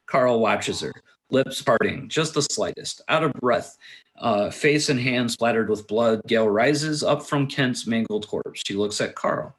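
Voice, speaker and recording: Male table-read narrator: average pitch, halting, plain delivery of stage directions. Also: animated, rough recording. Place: remote video call.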